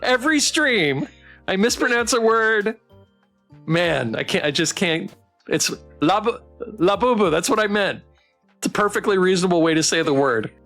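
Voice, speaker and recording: A man hosting a casual game livestream, reading text aloud and chatting with playful, expressive reactions. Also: sits high, normal speed, faint music behind. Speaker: game streamer and host.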